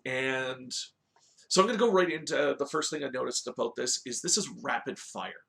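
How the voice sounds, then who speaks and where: A man with a mid-pitched, creaky voice hosts a review in a casual, informal style. He talks conversationally, as if chatting to regular viewers, with a noticeable gravelly fry in his delivery. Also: normal speed, a bit bright. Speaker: host of a video game movie review show. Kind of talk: casual review talk with story recap.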